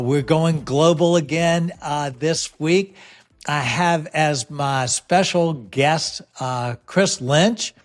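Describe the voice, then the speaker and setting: A male podcast host leads a relaxed interview. He talks slowly and leaves plenty of pauses and "uh" fillers. His voice sits fairly high for a man. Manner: unhurried, friendly, frequent "uh" fillers.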